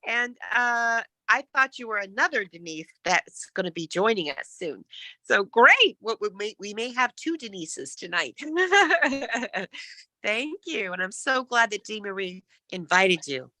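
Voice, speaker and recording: A woman hosting an online meeting, effusive and enthusiastic. Her voice is bright and swoops widely in pitch, and she speaks slowly. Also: expressive, no echo. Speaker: meeting president welcoming guests. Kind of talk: online club meeting chat.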